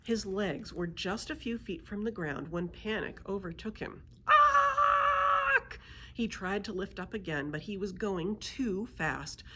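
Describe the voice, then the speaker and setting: A woman's voice: medium pitch, moderate pace, hardly any pauses, background noise. A woman narrating a children's book aloud as a librarian storyteller.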